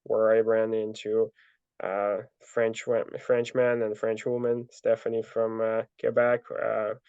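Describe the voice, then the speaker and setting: A man's voice: fairly low pitch, slow, clean tone, no echo. Man, dry and dull audio. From Ukraine. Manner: relaxed storytelling with many fillers and restarts. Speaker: guest, ultra trail runner. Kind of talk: casual interview answers.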